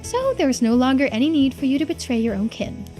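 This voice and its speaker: A woman's voice: high-pitched, sing-song, warm tone, faint music behind, voice pushed up. Woman voicing a composed, formal investigator. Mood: probing. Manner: measured, polite, questioning. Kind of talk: video game character voice.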